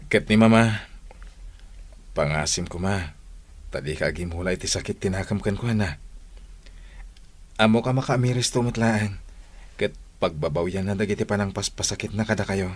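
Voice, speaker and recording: A man playing a devoted young sweetheart in an audio drama, tender and sincere, with a husky voice. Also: deep voice, moderate pace, long pauses. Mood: sincere, pleading.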